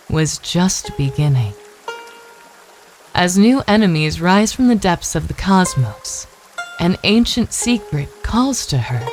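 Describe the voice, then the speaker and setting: A woman's voice: on the low side, low-quality recording. A woman narrating an audio drama in a scripted, dramatic storytelling style.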